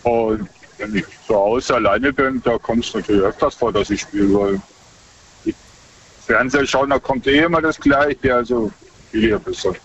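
A man's voice: medium pitch, normal speed, slight background noise. A middle-aged man calling in to a radio show, speaking plainly and rambling, often correcting himself. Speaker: caller. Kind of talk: casual phone chat. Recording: muffled, distant phone-in line.

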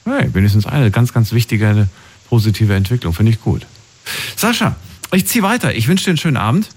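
A man's voice: medium pitch, very animated, a little fast, a little mellow, slightly rough. A man hosting a call-in talk show, speaking in a casual, conversational, questioning way with callers. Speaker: radio call-in show host.

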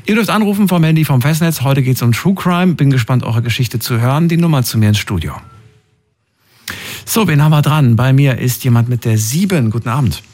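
An upbeat man hosting a radio call-in show in a studio. He talks in a chatty, opinionated way, at an even middle pitch. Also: fairly quick. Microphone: studio microphone.

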